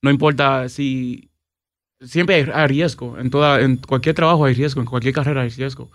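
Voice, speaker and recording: A man, a police officer from the Dominican Republic, speaking earnestly and haltingly, with restarts and some English fillers. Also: medium pitch, fairly even intonation, dry recording, clean studio sound.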